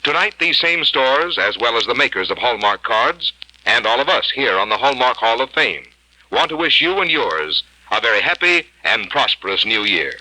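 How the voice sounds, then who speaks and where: Bright husky 1950s American radio announcer man. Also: medium pitch, big pitch swings, moderate pace, no echo, rough recording. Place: radio studio. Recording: old 1950s radio broadcast, music behind.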